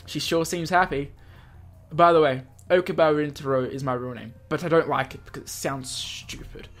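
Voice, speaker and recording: A man's voice: on the high side, fairly even intonation, normal speed, a bit bright. A man reads a visual novel aloud as a gaming host, over game music. He trips over words now and then and breaks off from the script into his own asides. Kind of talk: let's play, reading visual novel aloud with reactions. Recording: music behind the voice.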